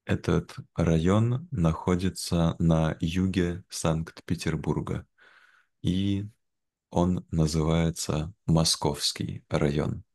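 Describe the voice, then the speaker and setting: A young man speaking in a careful, measured way in a simplified conversation for learners, on a video call from home. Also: low voice, fairly even intonation, gravelly, darkish tone. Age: young adult. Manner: measured, careful, deliberate phrasing. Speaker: guest, language teacher. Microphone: video call, wearing headphones.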